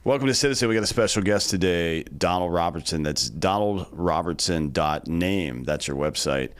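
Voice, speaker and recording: A man's voice: sits low, animated, moderate pace, smooth. An American man hosting a podcast interview in a laid-back, joking way, with many hesitations and ums. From United States. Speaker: podcast host. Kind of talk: casual interview conversation.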